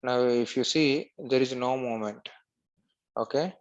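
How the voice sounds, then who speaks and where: A man narrating a screen-share software tutorial step by step as an instructor. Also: medium pitch, measured pace, lo-fi sound.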